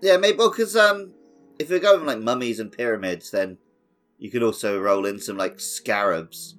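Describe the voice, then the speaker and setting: A man's voice: medium pitch, normal speed, a bit bright, faint music behind. A man hosting a podcast, chatting casually about a video game. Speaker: podcast host.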